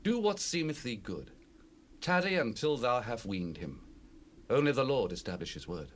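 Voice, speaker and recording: Mild biblical husband, swooping intonation by an actor. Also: male voice, mid-range voice, sing-song, normal speed, lightly acted. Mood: gentle, consenting. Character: mild devout husband of ancient Israel. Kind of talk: dramatized scripture reading, solemn audio drama. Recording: noisy unprocessed 1970s cassette rip.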